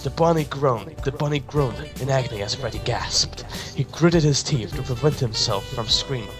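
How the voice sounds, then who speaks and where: A man's voice: medium pitch, normal speed. A man giving a dramatic reading of fan fiction, sometimes stumbling and repeating words. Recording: music behind the voice. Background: music.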